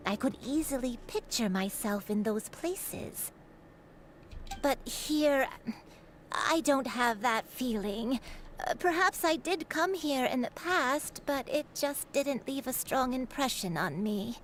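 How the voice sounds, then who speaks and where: A woman voicing a gentle, troubled granny with lost memories in a video game, speaking hesitantly. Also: high voice, animated, slow pace, slight background noise. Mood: wistful. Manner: hesitant, soft-spoken, trailing off.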